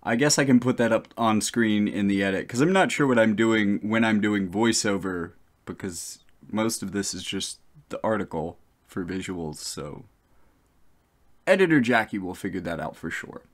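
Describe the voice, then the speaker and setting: A man's voice: lowish voice, expressive, normal speed. A man giving opinionated, informal, off-the-cuff voice-over commentary.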